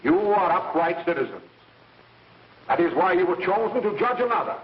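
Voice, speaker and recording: A stern courtroom prosecutor declaims with righteous weight in a high voice. It is a 1930s film soundtrack, echoey and heard from some distance. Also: male voice, animated, normal speed, lo-fi sound. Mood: righteous, grave. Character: stern male prosecutor pleading to a jury.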